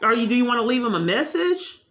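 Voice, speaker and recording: A woman's voice: on the high side, very animated, fast, a bit bright. A woman caller speaking unscripted in an insistent, long-winded complaint, often repeating herself.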